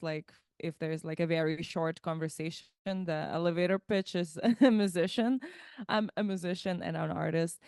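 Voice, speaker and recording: A woman's voice: lowish voice, a little mellow, smooth, no room sound, studio quality. A woman in her thirties from Lithuania, a musician guest chatting about her life, thoughtful and given to restarting words mid-phrase. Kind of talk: casual interview chat.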